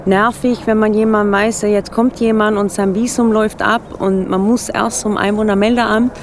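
A woman's voice: mid-range voice, flat delivery, normal speed, slight background noise. A middle-aged Swedish woman speaking German with a Swedish accent, a relocation consultant answering radio interview questions hesitantly, with many fillers and small grammar slips. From Sweden.

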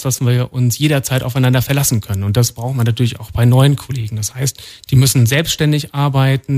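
A man from North Rhine-Westphalia, a department head being interviewed in a radio studio, explaining things in a calm, matter-of-fact way. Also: medium pitch. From North Rhine-Westphalia, Germany.

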